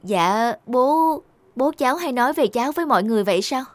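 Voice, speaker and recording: A woman's voice: high voice, animated, fairly quick, no echo. A young woman playing an earnest grown daughter back from studying abroad in a cartoon. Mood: moved. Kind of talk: Vietnamese cartoon dubbing.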